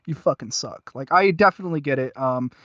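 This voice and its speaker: A man hosting a casual review chat, talking in a halting, rambling and self-deprecating way. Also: medium pitch, sharp tone, no echo, muffled recording. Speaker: podcast host.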